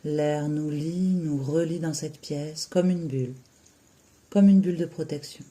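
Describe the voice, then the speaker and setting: A yoga teacher leads a guided relaxation in a soft-edged, mellow voice. The delivery is slow and soothing, with short phrases set apart by long pauses. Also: mid-range voice, fairly even intonation.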